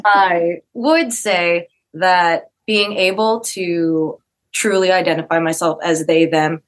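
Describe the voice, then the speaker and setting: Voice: medium pitch, fairly even intonation, slow pace, a bit bright. A music director speaking earnestly and with feeling as an interview guest about a theater production. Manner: earnest, heartfelt.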